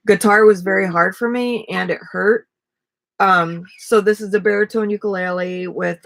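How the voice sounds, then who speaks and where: A woman in her forties with a raspy voice, chatting casually and rambling on a live stream from a small camper. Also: medium pitch, no room sound. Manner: relaxed, rambling, self-deprecating. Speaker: vlogger and ukulele player. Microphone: webcam at desk.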